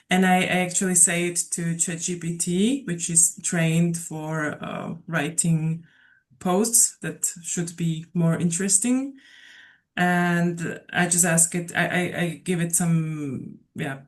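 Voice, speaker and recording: A woman teacher sharing how she works in a casual online discussion, friendly and a little hesitant. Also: fairly low pitch. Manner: friendly, hesitant, with fillers and repeats. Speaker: mindfulness and English teacher.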